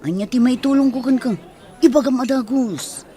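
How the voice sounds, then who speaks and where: A woman's voice: high voice, normal speed, dark tone, lightly acted. A woman with an Ilocano accent playing a blunt, suspicious older village relative in a radio comedy drama. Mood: scolding, suspicious.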